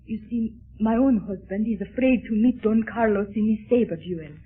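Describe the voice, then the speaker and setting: Casting: proud, plotting wife, formal delivery, vintage radio drama with a phone-thin band. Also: female voice, on the high side, moderate pace, husky. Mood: composed, scheming. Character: proud, scheming Spanish married lady. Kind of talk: 1930s radio serial acting. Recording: muffled narrow-band 1930s radio recording.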